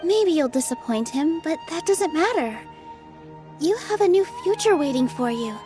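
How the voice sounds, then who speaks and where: Gentle young female dancer, soft and earnest, high voice, light game music behind. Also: expressive, moderate pace. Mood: reassuring. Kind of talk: video game voice acting.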